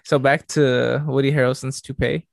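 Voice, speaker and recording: A young man co-hosting a podcast, teasing his friend with quick comebacks in casual chat. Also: mid-range voice, little pitch movement, gravelly, dry recording, studio quality. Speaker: podcast co-host.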